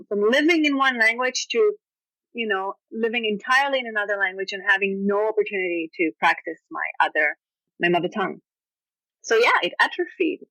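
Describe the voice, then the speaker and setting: A woman's voice: medium pitch, no room sound, rough recording. A woman with an Israeli accent, a native Hebrew speaker, telling stories animatedly as a guest author on a casual podcast, peppered with "you know". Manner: animated storytelling with frequent "you know" and laughs.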